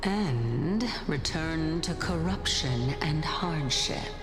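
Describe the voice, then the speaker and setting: A woman playing a solemn yet playful goddess of reason in an anime game, with a stately, measured and teasing manner. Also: low-pitched, lively intonation, heavily acted. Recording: long hall reverb, music behind.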